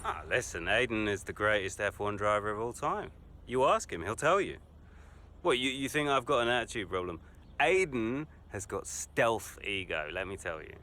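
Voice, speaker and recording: An actor playing a cocky racing driver, talking in a smug, breezy, boastful way in a game cutscene interview. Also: male voice, on the low side, animated, moderate pace, sharp tone. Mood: sarcastic, breezy. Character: cocky, self-satisfied male Formula One racing driver.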